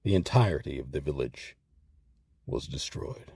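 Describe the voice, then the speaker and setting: A man reading scripted documentary narration in a measured, articulate way with emphatic phrasing. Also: extremely low pitch, expressive, vocal fry, warm tone, husky, no echo. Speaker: narrator of a history program.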